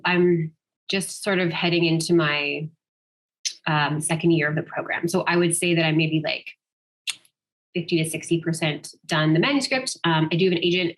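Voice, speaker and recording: A woman's voice: on the low side, a bit bright, poor audio. A woman speaking informally and thoughtfully as a guest writer answering questions, hesitating as she goes. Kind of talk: casual question-and-answer at an online literary event.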